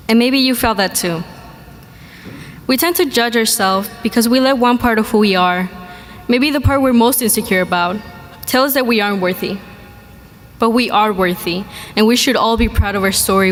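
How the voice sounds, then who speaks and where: A teenage girl from Mexico, a graduating student, giving an earnest, measured commencement speech at a podium in a large hall. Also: medium pitch. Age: teens. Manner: earnest, measured, slow delivery with pauses. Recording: reverberant hall, faint background noise.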